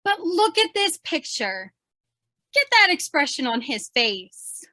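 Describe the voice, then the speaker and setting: A woman playing an eager, brash, excitable young news photographer in an old-time radio drama. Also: sits high, slow, dry recording, full character voice. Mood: eager, proud. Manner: excitable, slangy.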